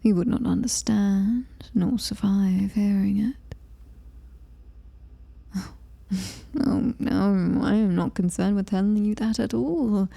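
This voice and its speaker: A British woman playing a coldly superior, menacing alien-human hybrid in a whispered ASMR horror roleplay, breathy, deliberate and taunting. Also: mid-range voice, steady tone, dark tone. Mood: haughty, menacing.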